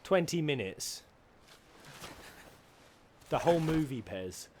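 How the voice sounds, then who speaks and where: A man's voice: fairly high pitch, expressive, takes it slowly, noisy background. A man giving casual, slangy reaction commentary on game cutscenes, with playful and crude joking. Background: video game soundtrack.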